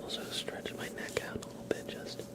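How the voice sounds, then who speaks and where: A man whispering gently as he hosts an ASMR live stream, chatting to viewers in a breathy voice and often repeating short phrases. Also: fairly quick. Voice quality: breathy whisper with creaky edges.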